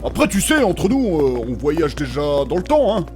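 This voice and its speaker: A man plays a chatty, good-natured adventurer with a high, bouncy voice. He is casual and mock-earnest, as in a fantasy comedy audio drama, and music plays clearly behind him. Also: sing-song, moderate pace. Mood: musing.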